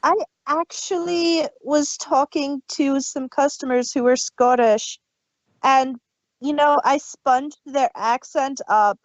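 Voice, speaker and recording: A woman's voice: high voice, slow, no echo. A woman hosting a show, chatting casually and telling anecdotes on a dull, lo-fi recording. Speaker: host of an audio drama review.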